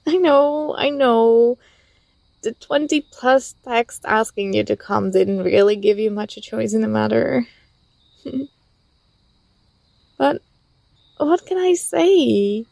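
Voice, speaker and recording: A woman playing an affectionate, teasing young student talking to a close friend in a soft, intimate ASMR roleplay. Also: on the high side, slow pace, gravelly, pauses often, no echo. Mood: warm, apologetic. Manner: gentle, playful, coaxing.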